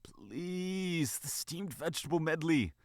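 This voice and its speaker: A man voicing a small, earnest female bunny in a storybook, soft and politely pleading. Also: extremely high pitch, expressive, a little fast, no room sound, studio quality, pitched above the performer's own voice, heavily acted.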